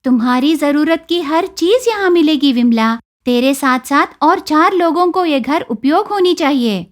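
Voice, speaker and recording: Woman voicing a high-voiced, commanding fairy goddess. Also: expressive, unhurried. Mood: benevolent. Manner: commanding, formal, benevolent. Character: righteous fairy goddess, female, judging and rewarding.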